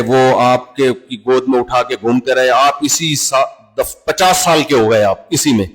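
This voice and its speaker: A man's voice: medium pitch, poor audio. A man with an Urdu-speaking Pakistani accent giving a sermon, talking conversationally and acting out little dialogues with everyday examples. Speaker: Islamic preacher.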